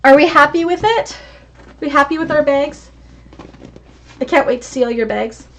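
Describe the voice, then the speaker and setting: A woman's voice: high voice, normal speed, a bit bright, a little hiss or hum. A woman teaching a live online sewing class, chatty and encouraging as she answers viewer comments. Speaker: sewing instructor.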